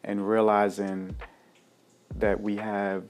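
Low-voiced man, hesitant and relaxed. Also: slow, faint music behind. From Bermuda and Jamaica. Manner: relaxed, hesitant, many fillers and restarts. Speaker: guest, cafe founder. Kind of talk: casual interview chat.

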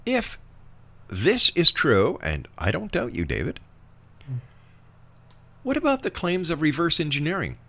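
A man's voice: a little high, wildly swooping pitch, measured pace, creaky, long pauses, bright, forceful tone. A man hosting a talk interview, putting probing questions emphatically and conversationally to a guest he addresses as a friend. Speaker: interview show host.

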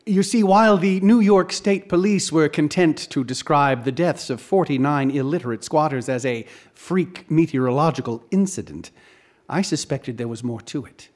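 An actor playing an eager author with a courteous, theatrical manner who relishes ghastly mysteries. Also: male voice, a little high, normal speed, a little mellow, close to an everyday voice. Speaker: narrator and lead character. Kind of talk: radio drama acting.

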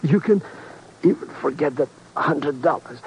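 An actor playing a self-pitying wounded rogue, halting and melodramatic, in a 1950s radio drama. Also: male voice, fairly high pitch, animated, a little mellow, husky, exaggerated voice. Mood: weak, plaintive. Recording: noisy old radio broadcast recording.

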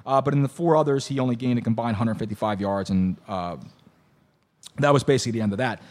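A hoarse man hosting a casual sports talk show, excitable and rambling with lots of restarts. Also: medium pitch, fast talker. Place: bar venue.

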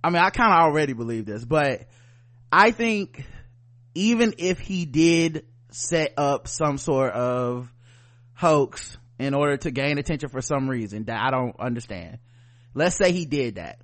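An American man hosting a podcast, chatting casually and comedically with animated, profane riffing. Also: mid-range voice, measured pace, no room sound. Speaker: podcast host.

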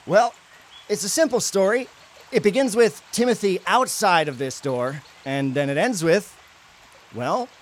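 A man's voice: high-pitched, lively intonation, a little slow. A man playing a teasing, mock-dramatic teenage bully at a camp in an audio drama. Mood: smug, mocking. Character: taunting, theatrical teenage boy bully at camp.

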